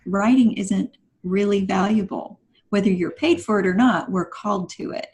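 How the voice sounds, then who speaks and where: A woman's voice: mid-range voice, moderate pace, a little mellow. A woman speaking as a podcast guest, giving motivational advice in an emphatic, instructive and encouraging way.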